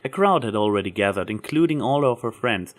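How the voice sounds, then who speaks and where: A man's voice: lowish voice, expressive, fairly quick. A man reading scripted fan fiction as the story's narrator.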